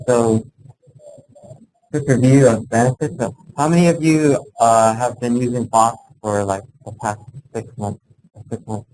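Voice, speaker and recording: A man presenting an informal software training to a group, explaining things plainly, step by step. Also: lowish voice, slight background noise, rough recording.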